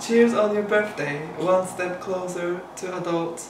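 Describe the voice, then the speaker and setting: A man in his twenties chatting casually into a handheld camera in a student flat, giggly and self-mocking. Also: high-pitched, a little slow, very noisy. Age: 20s. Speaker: vlogger. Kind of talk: casual vlog chat. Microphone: handheld action camera. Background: background music.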